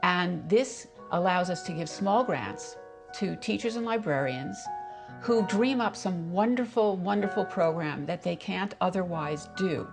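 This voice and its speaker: A woman's voice: on the low side, unhurried, creaky. A woman who leads a foundation, speaking in an earnest, emphatic documentary interview. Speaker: foundation leader. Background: music underneath.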